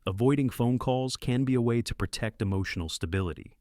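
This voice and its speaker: A man reading scripted explainer narration in a measured, deliberate way. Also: mid-range voice, animated, darkish tone, no echo, studio quality.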